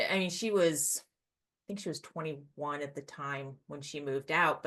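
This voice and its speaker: Low-voiced middle-aged woman hosting a podcast. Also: a bit bright. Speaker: podcast host. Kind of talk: conversational interviewing.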